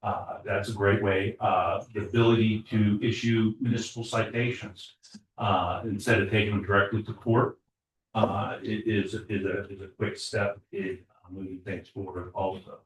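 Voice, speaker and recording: A man serving as code enforcement officer, reporting to a borough council with a halting delivery, many filler words and repeated starts. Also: on the low side, little pitch movement, slight background noise. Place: municipal council chamber. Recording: echoey chamber audio from far off.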